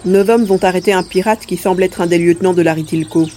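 A woman playing a small, plump, furry male mercenary creature who explains things in a measured way in a science fiction audio drama. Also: lowish voice, fairly even intonation, noisy background, close to an everyday voice. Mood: composed.

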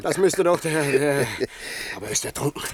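An actor playing an excitable, clownish castaway in a radio play, comic and exclamatory. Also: male voice, a little high, normal speed, close to an everyday voice. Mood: frightened. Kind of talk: 1960s German radio play acting.

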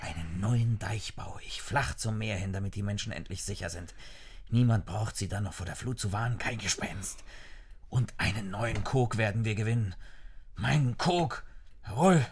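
A man's voice: normal speed, slight background noise, lightly acted. A man acting a stern, driven young husband and dike master in a radio drama, speaking in an intense, intimate murmur. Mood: fierce resolve. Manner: low, breathy, intense murmur.

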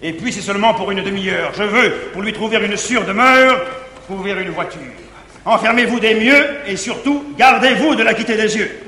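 A man playing a jealous middle-aged guardian in declaimed stage verse, swinging from tender pleading to shouting, in a reverberant 1959 theatre recording. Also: high voice, moderate pace, slight background noise. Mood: angry. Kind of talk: 1950s French stage verse acting.

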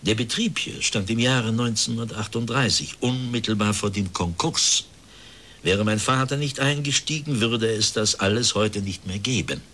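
A man's voice: lowish voice, moderate pace, pushed and bright. An actor playing a resigned factory director in his fifties, speaking formally and plaintively in a radio drama. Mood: earnest. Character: bitter, resigned plump factory director of fifty-one.

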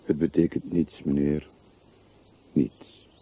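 An actor in a radio drama playing a poor, sober, deferential man with a measured, matter-of-fact way of speaking. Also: very deep voice, level tone, breathy edge, no echo, heavily acted. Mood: plain, firm. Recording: muffled, narrow-band old radio play recording.

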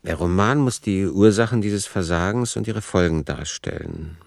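An actor playing an analytical author who reasons soberly through working notes, as scripted commentary in a radio play. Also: male voice, sits low, moderate pace, creaky, dry recording, clean studio sound. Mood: sober, deliberating. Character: analytical male author reasoning aloud over his novel plot.

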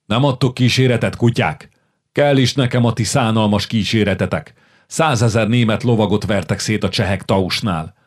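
A man voicing a stern king who shouts scornful insults in a rage. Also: fairly low pitch, pitched above the performer's own voice, lightly acted. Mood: raging.

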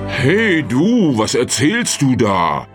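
A man playing a big, rich, spiteful peasant in a fairy tale, scolding in a gruff, menacing way. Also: medium pitch, huge pitch swings, slow, faint music behind. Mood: indignant. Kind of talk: acted fairy-tale audio story dialogue.

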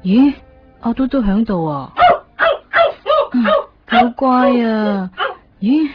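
A woman's voice: fairly high pitch, slow pace. An actress with a Hong Kong accent playing an innocent, easygoing homeless young woman in a radio drama, casual and unfazed. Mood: unfazed, resigned. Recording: dull 1990s radio drama recording. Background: faint background music.

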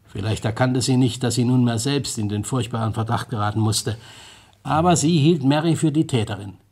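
An actor playing a shrewd, gently mocking police detective in an old German radio crime play, pressing his points with pointed questions. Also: mid-range voice, a little fast, slightly rough. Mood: insistent, probing. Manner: rhetorical questions, prodding interjections, pointed emphasis. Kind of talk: mid-20th-century German radio crime drama acting.

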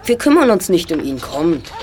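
A woman voices a teenage boy detective who leads his friends in a radio play. The voice is fairly low and lively in pitch, polite, composed and sure of itself. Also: normal speed, heavy background noise. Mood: decisive. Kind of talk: German audio drama acting.

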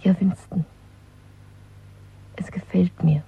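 A woman playing a bold, playful, worldly young lover in a German radio play, with a husky voice. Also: fairly low pitch, fairly even intonation, slow pace, heavily acted. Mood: playful, proud. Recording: thin phone-like old radio recording.

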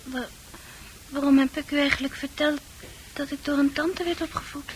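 A woman's voice: sits high, fairly even intonation, normal speed, slight background noise, lightly acted, low-quality recording. An actress playing a fragile young woman in a radio play, pleading and anguished, afraid she is going mad. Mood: uneasy. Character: fragile, frightened young woman who fears madness. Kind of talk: Dutch radio play acting.

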